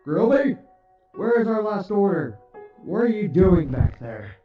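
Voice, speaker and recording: A man's voice: sits high, sing-song, measured pace, soft background music. A man playing a stern, demanding father who runs a restaurant, bossily calling out in a fan comic dub. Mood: impatient. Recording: dull, echoey, far from microphone.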